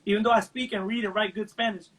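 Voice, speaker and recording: High-voiced emphatic Cuban-born man. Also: steady tone, fast talker, fairly bright tone, poor audio. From Cuba. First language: English. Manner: emphatic, repeats words when starting sentences. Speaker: Cuban baseball blogger. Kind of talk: casual chat on a livestream.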